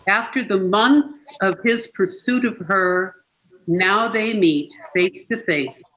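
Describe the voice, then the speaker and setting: A person reading short poems aloud in an unhurried, deliberate way. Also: mid-range voice, a bit bright, poor audio. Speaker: participant reading poetry aloud.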